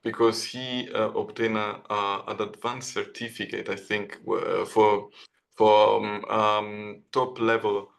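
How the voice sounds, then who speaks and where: Male guest author. Lowish voice with heavy fry, conversational, hesitant, wandering answers. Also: flat delivery, measured pace. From Italy. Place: online video call.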